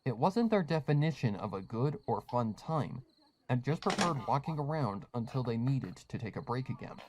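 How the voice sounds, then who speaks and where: A man's voice: mid-range voice, expressive, moderate pace, noisy background. Man narrating a story. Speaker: fan fiction narrator.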